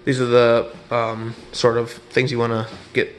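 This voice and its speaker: Folksy middle-aged carpenter explaining trim work. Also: male voice, mid-range voice, monotone, a little slow, a little hiss or hum. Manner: rambling, folksy, self-correcting explanations. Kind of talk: casual hands-on instruction. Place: empty apartment room under renovation, indoors. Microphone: head-mounted action camera.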